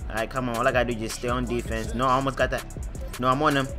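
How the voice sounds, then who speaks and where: A man's voice: medium pitch, flat delivery, rapid-fire. A man doing casual, slangy, profane trash-talking commentary over a video game. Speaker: gamer voicing parody commentary. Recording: music behind the voice.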